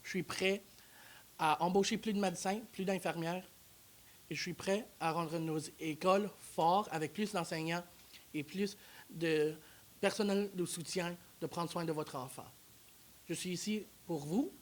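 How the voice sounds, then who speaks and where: A man's voice: high-pitched, unhurried. A man speaking as a candidate in a studio debate, earnest and repeating rallying lines. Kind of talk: campaign pitch in a debate.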